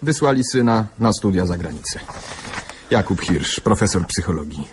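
An actor playing a matter-of-fact police investigator, clipped and businesslike, in a radio play. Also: male voice, low-pitched, expressive, normal speed, a little hiss or hum. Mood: factual briefing. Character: businesslike, eager male police investigator briefing his partner. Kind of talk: radio drama acting.

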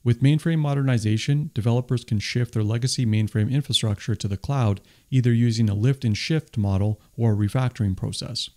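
A man's voice: medium pitch, fairly quick, few pauses, warm tone. A man hosting a tech video, reading a scripted voice-over summary with an enthusiastic, explanatory delivery. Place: voice-over, not recorded on the stage pictured.

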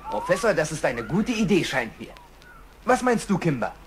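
A man's voice: fairly high pitch, big pitch swings, moderate pace, noisy background. An actor playing a friendly, trusting young man who lives among animals, polite and earnest, in a cartoon dub. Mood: cheerful, trusting. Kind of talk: German-dubbed cartoon dialogue.

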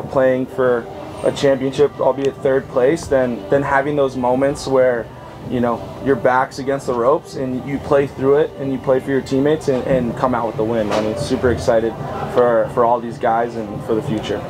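A man in his thirties, a head coach, talking through a postgame press conference in an upbeat, fast-flowing way with restarts. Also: medium pitch, steady tone, low-quality recording. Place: outdoor tent at a poolside press table.

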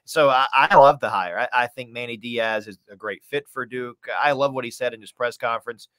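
A man's voice: mid-range voice, fairly quick, fairly bright tone, smooth, no echo, rough recording. Emphatic sportscaster man, dry close sound. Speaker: sports play-by-play announcer, podcast guest. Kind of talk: conversational sports interview. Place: small home room, video call.

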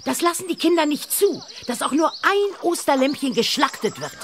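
Firm protective farm mother, middle-aged, animated intonation, radio play, faint background noise. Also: high-pitched, normal speed. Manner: firm, expressive. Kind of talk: children's audio drama acting.

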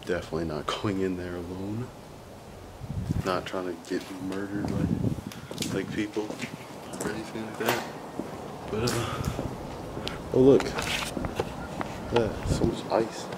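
A young man casually narrating a handheld vlog while exploring an abandoned factory, relaxed and slangy, joking with his viewers. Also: lowish voice, takes it slowly, long pauses, very noisy. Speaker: urban explorer vlogger. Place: abandoned factory, large indoor space. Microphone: handheld camera mic.

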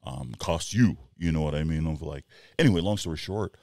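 A man in his forties with a very low, gravelly voice, chatting as a podcast co-host. He rambles through animated stories and acts out his own reactions. The sound is dry and close on a boom mic in a small studio room. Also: fairly quick, no echo. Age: 40s. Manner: rambling, animated storytelling with quoted asides. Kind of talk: casual chat. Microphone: headset and boom mic.

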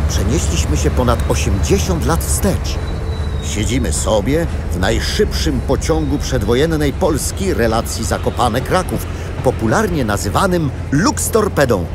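Animated, cheerful grandfather. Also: mid-range voice. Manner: animated, explanatory storytelling to children. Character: cheerful grandfather guiding grandchildren on adventures through history. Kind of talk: children's audio drama acting.

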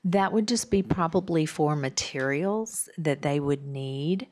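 A woman's voice: sits low, lively intonation, unhurried, a little mellow. A woman presenting a course proposal at a podium to a school board, hesitant with frequent um's. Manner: hesitant, filler-laden explaining. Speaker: district staff presenter. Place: large board meeting room. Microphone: podium microphone.

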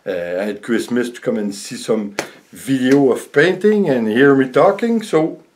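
An older man, around sixty, a native French speaker with a French accent, chatting casually to camera in a halting, rambling way as a vlogger in his home studio. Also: medium pitch, expressive, a little mellow, a little hiss or hum. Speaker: vlogger and painter. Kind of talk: casual vlog chat to camera. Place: small home art studio indoors.

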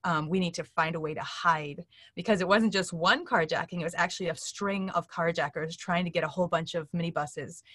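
A woman's voice: lowish voice, fairly quick, a bit bright, dry recording, studio quality. Earnest middle-aged woman telling a story. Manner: earnest, acts out quoted speech while telling stories. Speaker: interview guest. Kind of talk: animated storytelling in a casual interview. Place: indoor room, seated on a couch on a video call.

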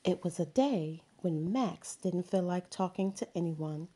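A woman, a teacher, reading a children's picture book aloud with slow, expressive storytelling. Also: lowish voice, breathy edge. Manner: slow, expressive storytelling with sound words and character voices.

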